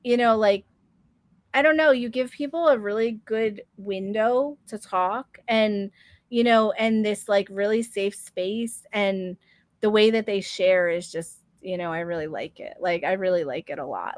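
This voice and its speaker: A woman's voice: medium pitch, unhurried, no room sound. A woman in her fifties hosting a podcast, chatting casually with many fillers and laughing often. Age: 50s. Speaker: podcast host. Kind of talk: casual chat.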